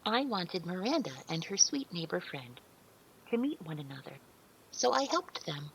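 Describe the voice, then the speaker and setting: A woman's voice: fairly low pitch, very animated, moderate pace, vocal fry, slight background noise, poor audio. A woman playing a polite, childlike artificial intelligence in an audio drama, speaking earnestly with precise, formal, literal wording. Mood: polite, pleased.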